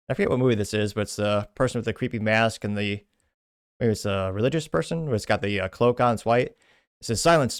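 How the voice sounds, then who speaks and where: A man's voice: medium pitch, clear, resonant tone, no room sound. Man casually reading comments, dry close sound. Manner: rambling asides and self-corrections. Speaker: host.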